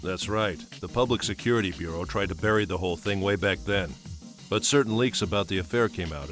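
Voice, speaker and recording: An actor playing a seasoned, matter-of-fact police squad member in an anime game dub, explaining in a plain-spoken way. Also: male voice, low voice, fairly bright tone.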